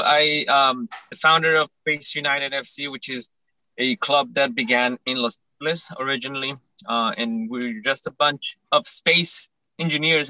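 A man's voice: mid-range voice, sharp tone, dry recording, low-quality recording. A young man from Colombia, founder of a club, telling his story at length in a casual panel chat with easy fillers. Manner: fluent, expansive storytelling with fillers.

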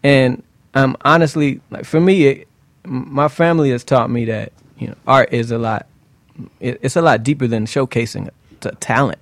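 A man's voice: mid-range voice, fairly even intonation, moderate pace, no echo. Reflective American man in a close, dry room. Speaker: guest singer and musician. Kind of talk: casual interview chat.

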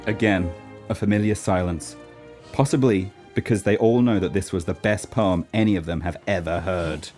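A man's voice: deep voice, moderate pace, darkish tone, soft background music. A man reading scripted stage directions as the narrator of a radio play. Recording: clear background noise.

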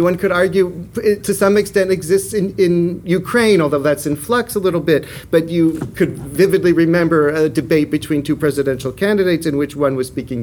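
Male lecturer, fairly high voice, stop-start delivery, faint room hiss. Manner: halting, full of restarts and fillers; animated, rising and falling pitch. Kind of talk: academic lecture.

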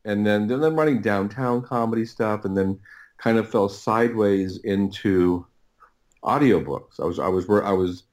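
A man's voice: fairly low pitch, darkish tone. A middle-aged man telling stories casually as an interview guest, often doubling back and breaking off his phrases. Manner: halting, repetitive, rambling anecdotes with self-interrupted phrases. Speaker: podcast guest, writer and audio producer.

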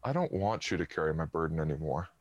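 A man acting an earnest, awkward, apologetic man in a comedy radio play, speaking haltingly. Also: extremely low pitch, expressive, husky, no echo, lo-fi sound, heavily acted. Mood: resigned. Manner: halting, hesitant.

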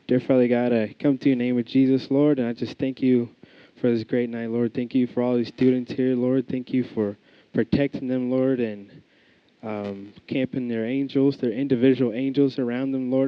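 A young man preaches in a loose, conversational way, often restarting his sentences and padding them with fillers. His voice sits in an ordinary middle pitch. The recording is slightly muffled and dry, with little room sound. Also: no room sound. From United States. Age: young adult. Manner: casual, rambling, many fillers and restarts. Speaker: student preacher. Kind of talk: informal sermon with prayer and testimony.

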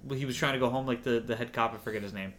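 Quick-talking man, mid-pitched podcast co-host. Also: a bit bright, a little hiss or hum. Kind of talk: casual chat.

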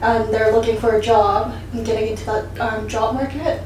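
Soft-spoken Korean-born woman, echoey room. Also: fairly high pitch, steady tone, a little fast, a little hiss or hum. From South Korea. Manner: soft-spoken, hesitant, frequent ums. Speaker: guest interpreter. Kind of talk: casual interview answers. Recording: echoey room, mic at a distance.

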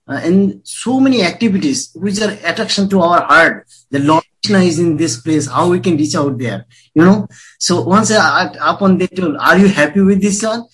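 A man from Bangladesh with a Bengali accent, speaking devoutly and haltingly in non-native English as he shares in a group. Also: a little high, a bit bright, low-quality recording. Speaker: class participant, devotee. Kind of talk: personal sharing in group discussion.

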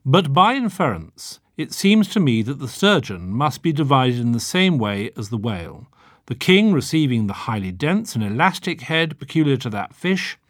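A man reading a literary audiobook in an expressive, wry, theatrical manner. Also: mid-range voice, moderate pace, no room sound, clean studio sound. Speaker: audiobook narrator.

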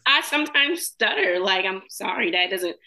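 A woman co-hosting a casual podcast, soft-spoken and hesitant, pausing often with "um". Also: mid-range voice, lively intonation. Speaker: podcast co-host. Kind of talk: casual group chat.

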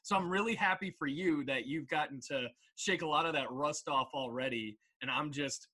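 A young man co-hosts a laid-back podcast with a bright, edgy tone. His voice sits slightly high. He jokes a lot and drops quick, animated asides. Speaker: co-host. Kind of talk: casual podcast chat.

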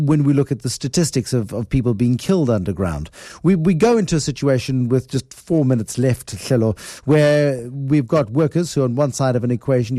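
A man's voice: medium pitch, warm tone, no echo, clean studio sound. A man hosting a radio interview, asking probing questions and often restarting his phrases. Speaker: radio host.

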